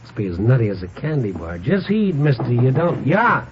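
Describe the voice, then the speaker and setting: A man's voice: medium pitch, sing-song, moderate pace, lightly acted. An actor playing a wry, slangy, impatient investigator who narrates his own case in a 1950s radio crime drama. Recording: muffled, noisy vintage radio recording.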